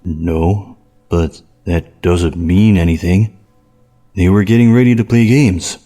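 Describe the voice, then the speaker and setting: A man's voice: sits low, fairly even intonation, slow, dark tone, soft background music. A man playing a polite, evasive, matter-of-fact police officer in an audio drama, speaking plainly. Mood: guarded, deadpan.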